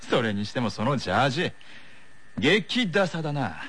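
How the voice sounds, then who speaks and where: A man playing a cocky, scornful teenage boy tennis player from an anime, with drawn-out, challenging delivery. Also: medium pitch, very animated, fairly bright tone, breathy edge.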